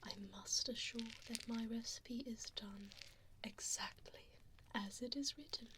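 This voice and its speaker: A woman playing an evil, condescending female vampire in an ASMR roleplay, whispering breathily and close to a binaural microphone with soft, teasing menace. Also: fairly high pitch, unhurried, poor audio. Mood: doting, focused. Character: evil mean female vampire preparing a human as meal. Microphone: close binaural 3Dio microphone.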